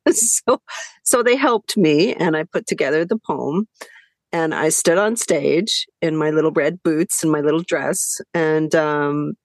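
Middle-aged author guest, Hungarian; low, creaky voice, dry sound, relaxed storyteller who laughs a lot. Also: female voice, no echo. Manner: chatty, laughs often, many fillers and restarts. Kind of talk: conversational storytelling.

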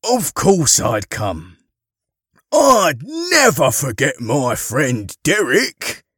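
Man acting a hearty, animated old Father Christmas. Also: fairly high pitch, drawn-out words, slightly rough, no echo, clean studio sound, pitched above the performer's own voice, exaggerated voice. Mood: reassuring. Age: older. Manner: hearty, projected. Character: kindly retired grandfather playing jolly Father Christmas. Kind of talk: audiobook character dialogue.

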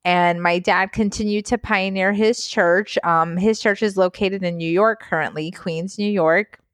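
A woman's voice: medium pitch, steady tone, unhurried, no echo, studio quality. A woman in her thirties from Guyana, a physician telling her life story in a casual interview, chatty with frequent laughs and fillers. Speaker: physician guest.

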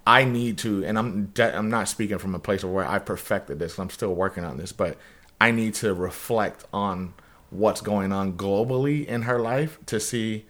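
A man's voice: fairly low pitch, moderate pace, fairly bright tone. A man on a podcast, talking earnestly about marriage in a rambling way, often restarting his sentences. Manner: earnest, rambling, self-correcting. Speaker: podcast guest. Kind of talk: casual podcast conversation.

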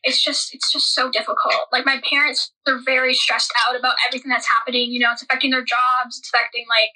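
Husky-voiced teenage girl, high and bright. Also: little pitch movement, fast talker, low-quality recording. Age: teens. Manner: rambling, many likes, stressed. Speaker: podcast guest. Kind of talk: casual podcast chat. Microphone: video call.

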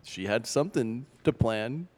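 A man playing a quizzical, bemused reader in improvised comedy, halting as he goes. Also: medium pitch, lively intonation. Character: quizzical hesitant reader puzzled by the script. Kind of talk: improvised comedy parody of radio play.

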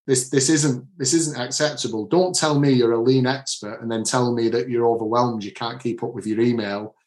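A man's voice: mid-range voice, fairly even intonation, moderate pace, darkish tone. Mancunian man in an echoey room. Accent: Manchester English. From Manchester area, England. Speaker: author and leadership coach. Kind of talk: podcast interview answers.

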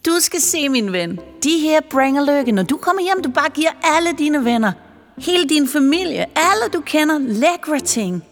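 Woman doing a high, wheedling pushy saleswoman. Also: animated, big-room sound, faint music behind. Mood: coaxing. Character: strange pushy woman with pink wig, salesy.

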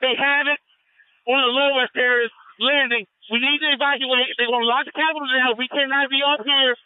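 Very high-pitched, urgent male officer on radio. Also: level tone, brisk, smooth, no room sound. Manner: urgent, commanding, repeats orders. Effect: police radio transmission. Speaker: police officer in the field. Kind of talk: urgent emergency radio calls. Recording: tinny narrowband handheld police radio.

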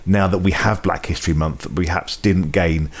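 A man's voice: sits low, fairly even intonation, a little fast. A man hosting a podcast interview, asking short, curious, open questions.

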